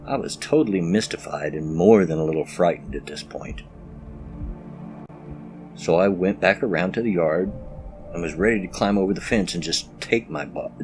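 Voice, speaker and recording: A man's voice: deep voice, a little mellow. A man narrating first-person scary stories as a storyteller. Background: music.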